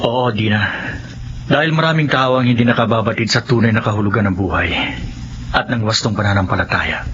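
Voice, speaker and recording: A man's voice: fairly low pitch, fairly quick, close to an everyday voice. An actor playing a solemn resurrected man who preaches and heals with grave certainty, in a Filipino radio drama. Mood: zealous, certain. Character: solemn resurrected husband turned self-assured preacher and healer. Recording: dull, noisy radio drama audio.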